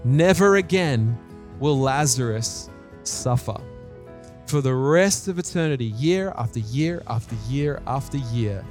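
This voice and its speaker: A middle-aged man with an Australian accent preaches in an animated, conversational way, telling personal stories in colloquial language. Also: mid-range voice. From New Zealand and Australia.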